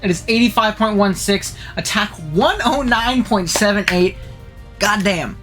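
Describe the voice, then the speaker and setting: A man's voice: high voice, music in the background. A man hosting a casual game-analysis show, talking chattily and enthusiastically while sniffling from a head cold. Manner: fast, chatty, enthusiastic gamer talk with fillers. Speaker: channel host.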